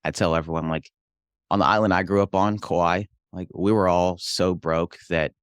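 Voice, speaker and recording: A man's voice: low voice, fairly even intonation, moderate pace, clean tone, dry recording, clean studio sound. A young adult man from Hawaii, a real estate investor and pastor, telling his life story in an earnest, reflective way. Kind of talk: casual interview storytelling.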